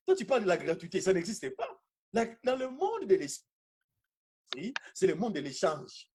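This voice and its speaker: A man preaching an animated, charismatic teaching sermon, emphatic and repeating phrases for effect. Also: high-pitched, unhurried, a little hiss or hum.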